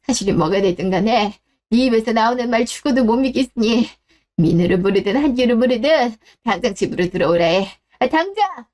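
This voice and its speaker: A woman's voice: fairly high pitch, animated, brisk, darkish tone, pitched below the performer's own voice, close to an everyday voice. A woman voicing an elderly mother who stammers in shaken disbelief, in a radio drama style. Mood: shaken, angry. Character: elderly mother, stunned, refusing to believe.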